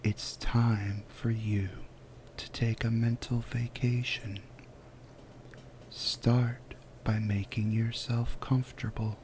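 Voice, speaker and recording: Soft whispery guy doing asmr guided relaxation, super slow, lowish voice, some background noise. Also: little pitch movement. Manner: soothing, unhurried, with long pauses.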